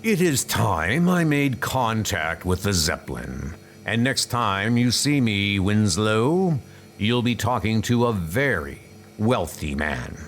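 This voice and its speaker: A man's voice: fairly low pitch, sing-song, slow pace, slight background noise, exaggerated voice. A man acting a pompous, scheming villain bent on revenge in a comic dub, theatrical in his delivery. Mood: smug. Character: Colonel Blimp, bitter scheming male villain seeking revenge. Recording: loud background music. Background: music.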